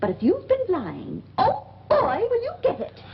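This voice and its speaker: A woman's voice: very high voice, wildly swooping pitch, measured pace, heavily acted. An actress playing a strict, scolding mother in a 1940s film. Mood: stern, threatening. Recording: muffled old film soundtrack with hiss.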